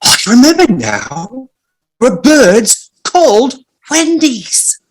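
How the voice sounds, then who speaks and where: A woman playing an excitable young boy in an audio play, with a dramatic delivery. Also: on the high side, slow pace, full character voice, poor audio. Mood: pondering.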